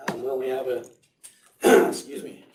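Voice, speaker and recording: A man with a hoarse, fading voice presenting bids at a county meeting, reading figures slowly and repeating them. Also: mid-range voice, flat delivery, darkish tone, slight background noise. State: hoarse, losing his voice. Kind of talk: public meeting report. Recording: echoey room, mic at a distance.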